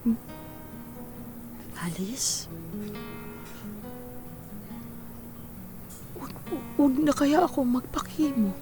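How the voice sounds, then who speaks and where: A woman's voice: sits high, expressive, a little slow, over music. A woman acting in a Filipino radio drama as an earnest, wistful widowed mother in her forties, weary after working overseas. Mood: hopeful, worried.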